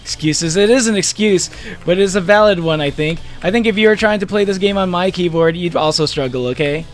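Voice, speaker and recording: A man chats casually with his viewers while he plays a game on stream. His voice sits a little high for a man, and he rambles, restarts his sentences and laughs often. Faint game music plays behind him. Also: fairly quick. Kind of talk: casual live-stream chat while gaming.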